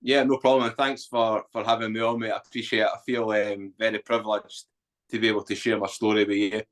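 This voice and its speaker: Man, Edinburgh Scottish accent, forties, low-pitched, blunt and earthy, candid storytelling guest. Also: level tone, fast, a bit bright. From Scotland. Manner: blunt, earthy, unguarded talk with frequent ums. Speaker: guest, author and former soldier.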